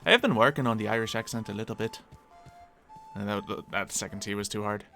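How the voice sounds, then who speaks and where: A man's voice: lowish voice, fast talker, creaky, bright, forceful tone, soft background music. A man with a slight Philadelphia accent chatting casually on a live stream while he plays, musing aloud and laughing easily.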